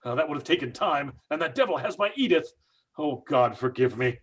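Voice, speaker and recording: A man plays an anguished father in an old-time radio horror drama. His high, husky voice swings widely as he stammers through agitated, guilt-ridden pleas. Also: animated, brisk, a bit bright. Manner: agitated, stammering, breathy exclamations. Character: desperate, guilt-stricken father searching for his abducted daughter.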